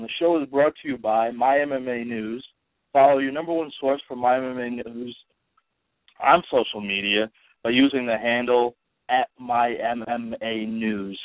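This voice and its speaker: A man's voice: mid-range voice, dry recording. A congested man hosts a casual call-in podcast, stumbling through fillers and restarts. His voice comes over a tinny, narrow phone line. The sound is dry and close, with no room at all. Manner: halting, many fillers and restarts. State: stuffy from allergies and a cold. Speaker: podcast host.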